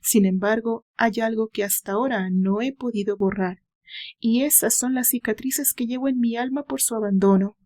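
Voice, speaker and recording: A woman plays a wounded young man in an audio novel. The voice is fairly high, plaintive and heavy with old resentment, speaking in a dramatic monologue. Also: fairly even intonation, normal speed, darkish tone, natural voice. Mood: resigned, reflective. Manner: plaintive, sorrowful. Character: wounded, resentful young man recalling his absent father.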